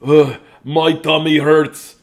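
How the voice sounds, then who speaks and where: A man's voice: medium pitch, unhurried, bright, forceful tone. A man voicing an overstuffed male lumberjack who groans and sing-songs about his sore tummy, in a read-aloud storybook. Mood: groaning.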